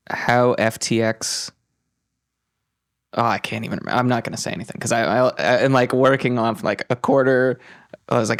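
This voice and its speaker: A man's voice: mid-range voice, no echo, clean studio sound. A man co-hosting a podcast, chatting casually. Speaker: podcast co-host.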